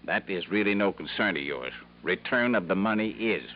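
A low, widely swinging voice of a blustering, curt old company boss. He is miserly and self-righteous, in old radio drama style, on a dull recording. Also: expressive, normal speed. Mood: indignant, miserly. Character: gruff, miserly, pompous older businessman, self-righteous factory owner. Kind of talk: 1950s radio drama acting.

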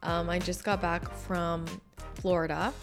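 A woman in her thirties hosting her own show, hoarse and a little scratchy after losing her voice, talking in an upbeat, chatty way. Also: fairly low pitch, little pitch movement, measured pace, over music. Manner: chatty and upbeat, with laughs and fillers. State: hoarse, recovering from lost voice. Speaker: podcast host. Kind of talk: casual solo chat.

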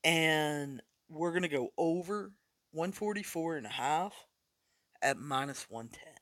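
A man hosts a sports betting podcast, talking through his picks slowly and casually. His voice sits a bit high and still sounds worn from losing it recently. The recording is dry and close. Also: fairly bright tone, no echo. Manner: casual, chatty, deliberate picks. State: recovering from losing his voice, coughing. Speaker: podcast host.

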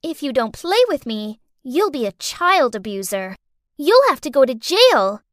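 A woman's voice: high voice, sing-song, measured pace, dry recording, studio quality. A woman voicing a scheming little girl in a story, with pushy, childish, whiny delivery. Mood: threatening.

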